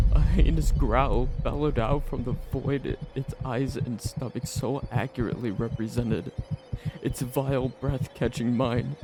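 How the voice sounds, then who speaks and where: A man narrating a first-person horror story in a tense, dramatic manner with theatrical pauses. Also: medium pitch, rough recording.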